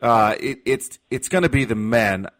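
A man's voice: medium pitch, expressive, normal speed, a bit bright. An American man talking casually as a sports panelist, thinking aloud and hedging his opinions in a loose, joking way.

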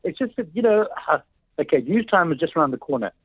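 A South African man phones a radio show on a dull, lo-fi line. His voice is husky and swings up and down in pitch as he rambles casually, halting often. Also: sing-song, no room sound. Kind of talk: casual call-in chat.

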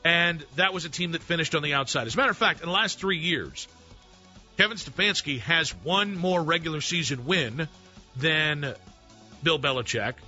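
A man with a very bright, sharp tone argues a sports point on talk radio, laying out records and stats calmly. The broadcast sound is a bit dull, with faint music underneath. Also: a little high. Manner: measured, stat-citing argument.

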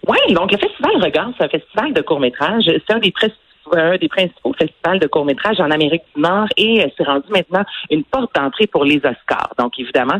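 A woman with a Québécois accent giving a lively, chatty culture segment on the radio. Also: low voice, breathy edge, no room sound, poor audio. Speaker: culture columnist.

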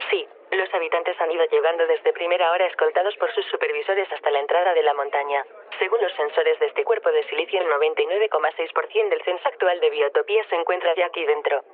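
A man's voice: fairly high pitch, fairly even intonation, fast, lightly acted. Man playing a formal AI on a tinny phone. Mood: formal, literal. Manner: stiffly formal, over-literal phrasing. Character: literal-minded, polite artificial intelligence in a silicon body. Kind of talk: radio drama. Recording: tinny, narrowband phone line.

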